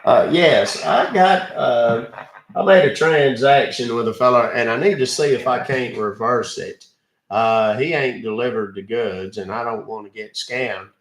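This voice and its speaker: A man's voice: medium pitch, measured pace, lightly acted. A folksy, plain-spoken rural caller played by a man who drawls and stumbles through fillers while complaining. His voice sits in a mid register and carries a roomy echo. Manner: drawling, hesitant with fillers, uses ain't and y'all.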